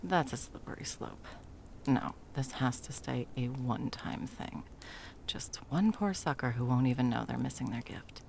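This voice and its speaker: A woman's voice: a bass voice, normal speed, vocal fry, a little mellow. A woman playing a frazzled, sarcastic, foul-mouthed Christmas delivery elf in a whimsical comedic ASMR roleplay, muttering and often dropping to whispers. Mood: scheming, anxious.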